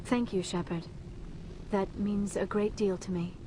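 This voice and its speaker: Woman as soft, mellow-voiced alien crewmate. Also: mid-range voice, steady tone, measured pace, slight background noise, lightly acted, low-quality recording. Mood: grateful. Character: gentle, earnest young alien woman. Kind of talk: video game cutscene acting.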